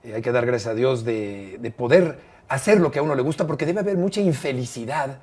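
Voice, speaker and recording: A Mexican man in his fifties with a Mexican accent, a sports commentator telling stories in an interview, expressive and emphatic with frequent fillers. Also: medium pitch, brisk, slightly rough. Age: 50s. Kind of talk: conversational interview storytelling.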